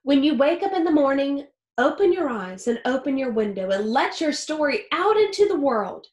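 A woman in her thirties reads a children's picture book in a slightly high voice. She phrases deliberately, as a teacher would for young listeners. The small room gives her voice a clear echo. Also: very animated, a little mellow. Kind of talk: expressive children's read-aloud. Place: small home office or study with wooden shelves. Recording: roomy, echoey indoor sound.

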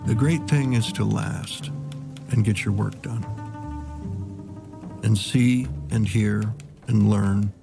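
Very gravelly, reflective male writer. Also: fairly low pitch, steady tone, slow, pauses often. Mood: resolute. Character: reflective male writer in his own quoted words. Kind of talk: voice-over reading of a writer's words. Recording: music under the voice. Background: guitar music.

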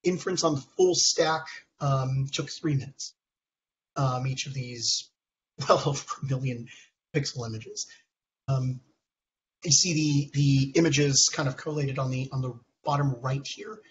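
A man in his thirties, hoarse from a cold, presenting research slides over a video call in a halting way, with many ums and restarts. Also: mid-range voice, fairly even intonation, slight background noise. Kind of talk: technical conference talk. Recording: dull lo-fi call audio.

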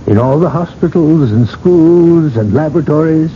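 An actor in a radio drama playing an earnest friend who consoles gently and with emphasis. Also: male voice, on the high side, lively intonation, normal speed, lightly acted. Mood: earnest assurance. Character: earnest, consoling male friend of dying tycoon. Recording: dull, slightly noisy old radio broadcast.

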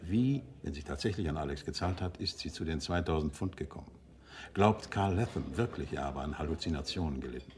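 An actor playing a genial, urbane private detective and teasing husband in a German radio play, conversational and playful. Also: male voice, extremely low pitch, lively intonation, a little fast, a little mellow. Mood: musing. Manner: playful, conversational, hesitating for effect.

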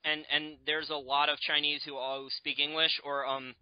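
A man's voice: fairly high pitch, level tone, moderate pace, clear, resonant tone, no echo. A man hosting an investing podcast, asking questions in a conversational way. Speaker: podcast host, interviewer. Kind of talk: conversational interview. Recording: dull, dry lo-fi audio.

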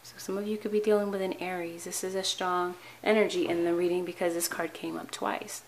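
A woman reading tarot cards for her viewers in a calm, earnest way, her voice hoarse and tired, often restarting her words. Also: lowish voice, a little fast, slight background noise. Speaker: tarot reader. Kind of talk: weekly tarot reading to camera. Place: indoor tabletop with cards, voice off camera.

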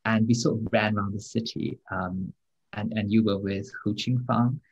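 A man translator recalling a first meeting in a wry, hesitant way, with many pauses and ums. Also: on the low side, steady tone, slightly rough, highs cut off. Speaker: translator guest. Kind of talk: casual conversation.

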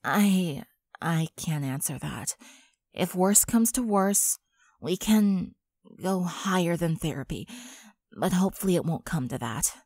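A woman plays a concerned young woman in an audio drama. Her voice sits on the low side and is recorded dry and close. She speaks earnestly and persuasively, firm but caring. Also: expressive, unhurried, darkish tone, husky, no room sound. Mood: gentle, hesitant. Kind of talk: dramatic fan fiction voice acting.

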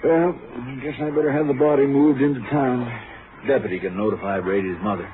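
Male actor as country sheriff, folksy, laconic, medium pitch, old radio drama, noisy muffled sound. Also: brisk, natural voice. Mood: matter-of-fact. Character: plain-spoken male western county sheriff. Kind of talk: 1950s radio western acting.

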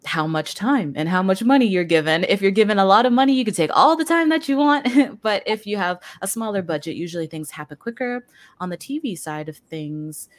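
A woman's voice: mid-range voice, animated, fairly quick, dry recording. A woman storyboard artist explaining animation work casually, with many likes. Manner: casual, says like a lot. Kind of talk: casual explanation on a video call. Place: room full of plush toys.

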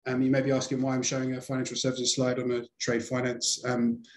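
A man's voice: mid-range voice, monotone, quick pace, echoey room. A man with a London accent giving a webinar presentation over a video call, hesitant with many fillers. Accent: London English. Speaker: sales presenter.

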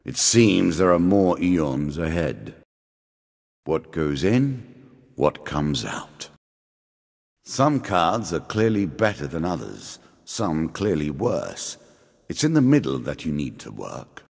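An ageless, condescending dealer from a fantasy video game, with an extremely low voice. His delivery swings expressively through ominous, theatrical phrases, and a long reverb trails every word. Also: very animated, takes it slowly, gravelly, a little mellow, slightly rough, full character voice. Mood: impatient, brooding. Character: ancient, immortal, sardonic dealer of a deadly card game. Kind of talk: scripted fantasy game character lines.

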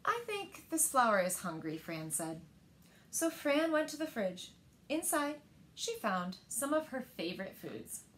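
A woman in her thirties with a slightly high voice reads a picture book as a story-time storyteller. She shapes each phrase deliberately and expressively for young listeners. The home recording has noticeable background noise. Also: sing-song. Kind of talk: reading picture books aloud to young children. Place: small living room by windows, indoors.

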